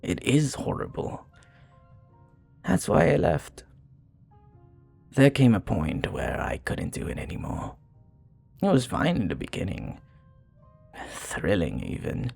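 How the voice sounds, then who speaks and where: A man playing a remorseful, flirty vampire bartender in an intimate ASMR roleplay, soft-spoken and hesitant, with a breathy, husky voice. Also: on the low side, huge pitch swings, slow, pauses often, faint music behind, heavily acted. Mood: regretful, confiding. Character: remorseful, flirty male vampire bartender confessing his past.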